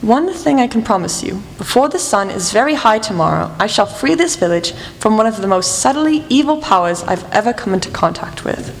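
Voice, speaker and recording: A woman's voice: medium pitch, expressive, a little fast, hardly any pauses, close to an everyday voice. Woman acting commanding lady detective in an echoing hall. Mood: commanding, determined. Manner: crisp, authoritative, formal. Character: commanding female detective Mrs. Holmes, brisk and shrewd. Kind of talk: radio play acting. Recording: reverberant hall, faint music.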